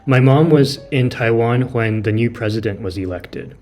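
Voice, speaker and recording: Male gaming streamer from California, native Mandarin speaker, casual rambling chat, soft game music behind. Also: mid-range voice, normal speed. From California, near San Francisco. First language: Mandarin Chinese. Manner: rambling, many fillers and restarts.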